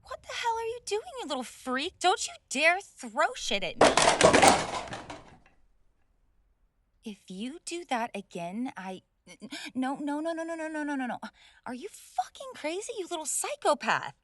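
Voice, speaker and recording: A woman's voice: high voice, very animated, normal speed, fairly bright tone, dry recording, studio quality. A woman playing a spoiled rich college bully in a roleplay audio drama, indignant, snobbish and sweary, stammering when flustered. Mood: furious, alarmed. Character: haughty, spoiled rich college girl bully, hot-tempered tsundere. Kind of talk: scripted roleplay audio drama, one side of a dialogue.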